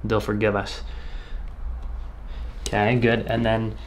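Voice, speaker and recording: Reassuring male chiropractor over clear background noise. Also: fairly low pitch, monotone, slow pace. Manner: reassuring, explanatory, conversational. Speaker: chiropractor treating a patient on camera. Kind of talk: explaining treatment to a patient while working. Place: indoor treatment room. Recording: clear background noise, treatment room ambience.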